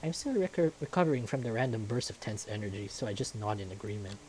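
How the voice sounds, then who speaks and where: A man's voice: fairly low pitch, fast talker, darkish tone, slight background noise, rough recording. A man reading scripted first-person story narration from a visual novel. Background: music.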